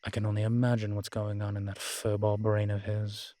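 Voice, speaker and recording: A man's voice: fairly low pitch, completely flat delivery, a little fast, mellow, lightly acted. A man playing an ancient, proud and world-weary male vampire in an audio roleplay, talking in a wry, haughty way with old-fashioned fancy words. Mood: scornful, doubtful. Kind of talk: audio roleplay drama, one-sided dialogue.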